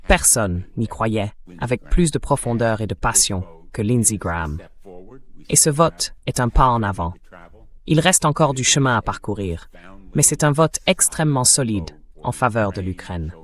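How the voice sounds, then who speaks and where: A man's voice: medium pitch, animated, normal speed. A man speaking as a senator in a political speech, translated and read by a synthetic voice.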